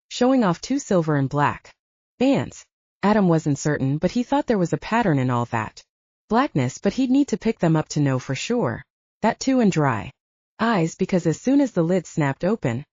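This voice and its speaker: A woman narrating an audiobook in a scripted, expressive reading. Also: lowish voice, dry recording. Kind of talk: scripted narration of a romance novel. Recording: dull lo-fi audio, dry.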